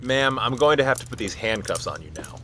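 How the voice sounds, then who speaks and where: A man's voice: mid-range voice, lively intonation, a little fast, fairly bright tone, slight background noise, lightly acted. A man playing a stern, by-the-book military officer in an audio drama, speaking formally and procedurally. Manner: formal, procedural, addresses others as sir and ma'am.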